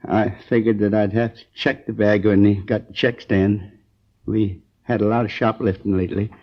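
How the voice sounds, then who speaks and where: An American man playing an injured, groggy storekeeper in his sixties, rambling haltingly and earnestly in a 1950s radio crime drama. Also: on the low side, little pitch movement, lightly acted. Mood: groggy, hazy. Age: 60s. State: groggy, in pain. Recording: dull old 1950s radio recording.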